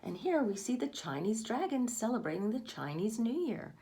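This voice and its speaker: A woman's voice: fairly high pitch, sing-song, moderate pace, mellow. A woman reading a picture book aloud to children, expressively, with asides and questions addressed to the young listeners. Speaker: read-aloud storyteller for children.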